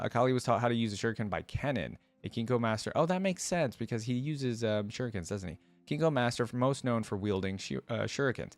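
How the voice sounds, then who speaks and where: A man's voice: on the low side, no echo. A man hosting a reaction video, reading aloud and adding casual, informal commentary as he thinks aloud.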